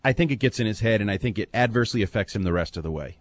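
A man's voice: on the low side, expressive, racing, dry recording. A man co-hosting a sports talk radio show, giving opinionated, emphatic takes in long runs. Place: radio studio.

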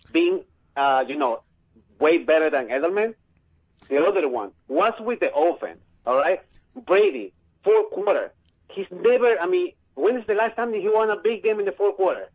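A man's voice: high-pitched, lively intonation. Peruvian-accented man calling in, lo-fi line. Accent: Peruvian Spanish. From Peru. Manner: eager, halting with fillers. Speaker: caller. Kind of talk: call-in radio question. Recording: lo-fi phone-in line.

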